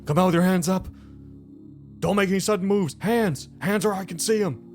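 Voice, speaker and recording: A man's voice: sits high, moderate pace, darkish tone, voice pushed up, natural voice. A man voicing an armed lawman who barks short, commanding arrest orders in a tabletop game. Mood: forceful, urgent. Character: shotgun-wielding lawman barking arrest orders. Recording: music playing behind the voice.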